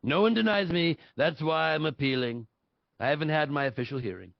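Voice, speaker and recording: A man's voice: on the high side, a little fast, vocal fry, no room sound, lo-fi sound. A man playing an arrogant, petulant older politician in a comic stage script, blunt, indignant and dismissive, at a table read. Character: arrogant, petulant older male politician in afterlife waiting room. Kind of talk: live table read acting.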